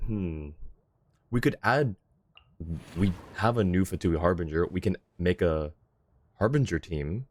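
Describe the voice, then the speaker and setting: A man's voice: low-pitched, lively intonation, unhurried, pauses often. A man chatting casually as a game streamer, thinking aloud in an informal way. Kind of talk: casual livestream chat and game commentary.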